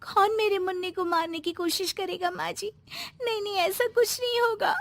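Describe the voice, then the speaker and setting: A woman's voice: extremely high pitch, fairly even intonation, normal speed, very noisy, pitched above the performer's own voice. A woman playing a devoted, emotional young daughter-in-law and mother in a moral-story cartoon, earnest and dramatic. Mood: distraught, pleading.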